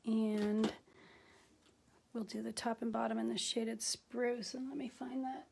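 A middle-aged woman casually demonstrating a craft step by step, speaking in an unhurried, halting way as she works with her hands. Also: fairly high pitch, little pitch movement, gravelly, a little mellow. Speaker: craft tutorial host. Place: indoors at a craft table. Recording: noisy home recording with clicks.

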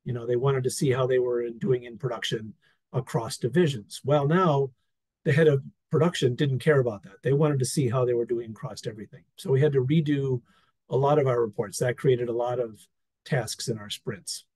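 A man presenting an experience report over slides on a video call, talking in a relaxed, wry way with fillers and restarts. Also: medium pitch, a little fast, slightly rough, no room sound. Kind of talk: conversational talk over slides.

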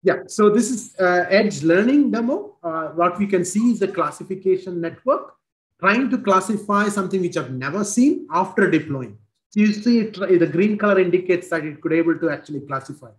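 A man in his forties with an Indian accent, presenting a technical product demo over a video call, halting with many fillers and restarts, speaking into a headset. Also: high voice, animated. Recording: roomy video call audio.

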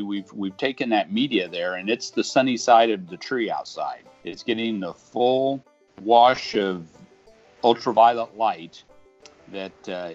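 Low-voiced man explaining over soft guitar. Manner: conversational, plain-spoken, with homespun comparisons. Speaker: HVAC company representative explaining an install. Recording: dull lo-fi audio with faint guitar music.

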